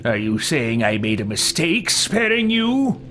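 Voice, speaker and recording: A man's voice: mid-range voice, sing-song, measured pace, heavy background noise. A man roleplaying a cold, ruthless, arrogant adventurer in a video game, speaking in a haughty, theatrical way. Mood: cold disdain. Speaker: video game player voicing his own character. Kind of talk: in-character roleplay commentary.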